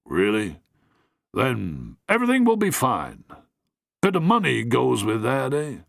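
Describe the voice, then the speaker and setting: A man voicing a bluff, blustering older patriarch who speaks in clipped, gruff bursts. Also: mid-range voice, wildly swooping pitch, a little slow, fairly bright tone, no echo, studio quality, exaggerated voice.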